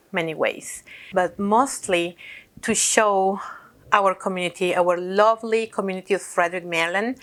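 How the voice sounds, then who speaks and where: A woman from Peru who owns a roofing company, speaking earnestly and reflectively in an interview. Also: medium pitch. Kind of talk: interview to camera.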